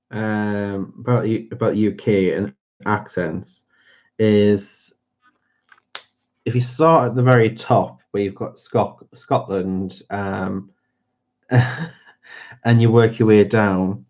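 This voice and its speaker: A man's voice: fairly low pitch, darkish tone. A man with a North East England accent, chatting casually as host of a colouring livestream, rambling and hesitant with many fillers. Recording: dull lo-fi sound.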